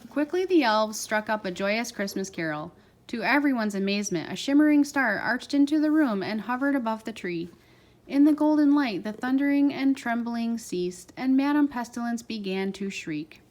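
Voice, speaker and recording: A woman's voice: on the high side, lively intonation, moderate pace. A woman narrating a children's storybook aloud as a parent, expressively stressing key words.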